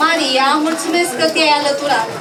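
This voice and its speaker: Actress as courteous female field reporter, high voice, mock-news puppet show, echoey live sound. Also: natural voice. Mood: courteous, inquiring. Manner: courteous, formal. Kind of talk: scripted mock news interview. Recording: echoey, distant, noisy, crowd behind.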